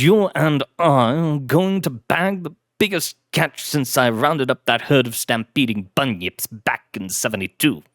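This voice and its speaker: A man's voice: mid-range voice, no echo, clean studio sound, lightly acted. A man playing a blustering aristocratic sea slug. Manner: bombastic, blustering upper-class exclamations. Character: exuberant aristocratic male sea slug explorer.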